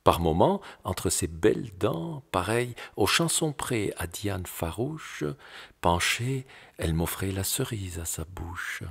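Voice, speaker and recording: A man gives an expressive recitation of poetry in a low, husky voice. His intonation swings widely, with theatrical, declamatory phrasing and dramatic pauses that let each line stand apart. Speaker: poetry reader.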